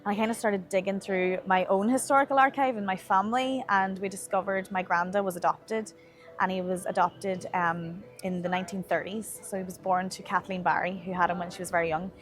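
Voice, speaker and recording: A woman's voice: mid-range voice, steady tone, brisk, soft background music. A young woman with an Irish accent, speaking as a playwright and performer in a promotional interview. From Ireland. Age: young adult.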